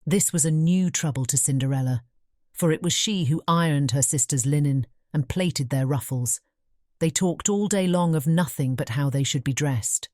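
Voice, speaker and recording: A British woman reads a scripted fairy tale as narrator. Her voice is very low and soft-toned, measured, and recorded dry and close. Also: no room sound. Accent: British English. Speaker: audiobook narrator.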